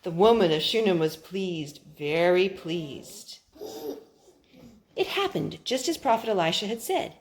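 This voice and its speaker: A woman reading a Bible story aloud to children, with expressive, deliberate phrasing and drawn-out words. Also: lowish voice.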